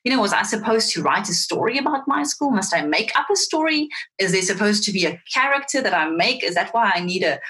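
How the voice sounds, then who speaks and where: A woman whose first language is Afrikaans, a marketing guest giving thoughtful, animated answers in an interview. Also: mid-range voice, fast.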